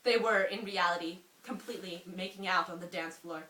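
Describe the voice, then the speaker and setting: A young woman reading a story aloud to a friend in an amused, expressive way, often breaking off for asides. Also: lowish voice, fairly quick. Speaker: reader. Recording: roomy, distant mic with faint noise.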